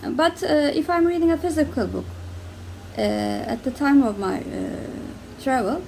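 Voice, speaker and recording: A young woman with a Turkish accent talks through her reading habits in a loose, halting way, full of fillers and self-corrections. Her voice sits high with a gravelly fry. A faint hiss of noise runs behind her. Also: lively intonation, warm tone, low-quality recording. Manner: hesitant, many fillers and restarts, laughs often. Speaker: book vlogger. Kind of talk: casual chat to camera.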